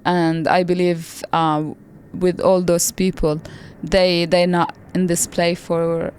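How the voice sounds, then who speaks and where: A woman from Palestine whose first language is Arabic, speaking as a playwright guest in a relaxed interview, thoughtful and often repeating words as she searches for them. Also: lowish voice, slow pace, slight background noise. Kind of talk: casual interview conversation.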